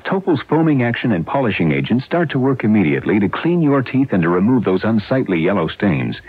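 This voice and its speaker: A male commercial announcer delivers a scripted sales pitch. His voice is low and very animated, heard through a narrow, lo-fi 1970s radio sound. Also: fairly quick, breathy edge.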